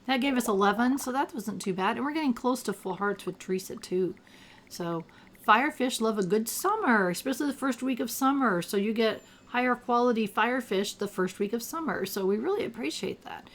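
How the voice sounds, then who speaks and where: An older retired woman with a gravelly, allergy-roughened voice, chatting casually while she plays a game and thinks aloud. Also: on the high side, expressive, brisk. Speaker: gameplay commentator. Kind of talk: casual gameplay commentary.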